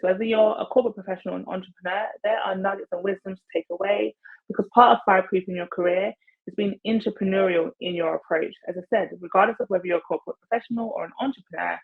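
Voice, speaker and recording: A woman's voice: medium pitch, phone-quality sound. A woman hosting a career podcast, chatting enthusiastically with her guest over a video call. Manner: enthusiastic, effusive. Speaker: podcast host. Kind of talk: casual interview chat. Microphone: earbuds on a video call.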